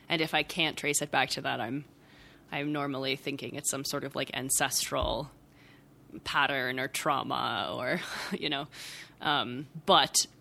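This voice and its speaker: Young woman guest with a bright, clear tone; reflective and meandering, full of filler words and small laughs. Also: a bass voice, slight background noise. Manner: thoughtful, rambling, many fillers and soft laughs. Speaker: podcast guest, writer. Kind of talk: casual reflective conversation.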